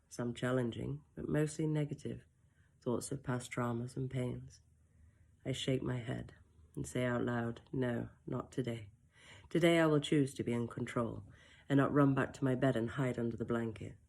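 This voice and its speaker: A woman reading her own first-person story aloud, in a soft, emotional and reflective way with brief self-mocking asides. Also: rumbling low.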